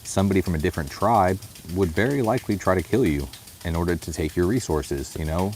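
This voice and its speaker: A man with a very low, deep voice reflects aloud in a personal vlog. He speaks in a thoughtful, unhurried way, often stopping to add "you know". Soft music plays faintly behind him. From United States. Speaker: vlogger.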